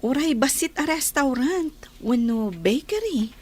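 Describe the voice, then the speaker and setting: A woman's voice: sits high, lively intonation, unhurried. A woman with an Ilocano accent playing a hopeful, dutiful young working student in a radio drama.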